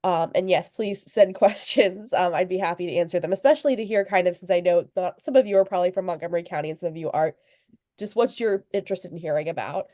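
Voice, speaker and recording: A woman's voice: fairly low pitch, fast talker, mellow, no room sound. Young reporter lady w a cold, kinda sniffly, chatty with lots of ums, dry close sound. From Virginia, USA. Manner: chatty, fillers and restarts, light laughs. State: sniffly, under the weather. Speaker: local news reporter, guest speaker. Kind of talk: informal talk at a club meeting. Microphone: video call.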